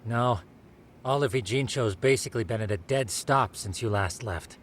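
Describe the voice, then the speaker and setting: Male actor as courteous associate, medium pitch, earnest, faint rumble behind. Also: normal speed, close to an everyday voice. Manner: courteous, informative. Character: polite, earnest male associate giving updates. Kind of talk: naturalistic video game cutscene acting.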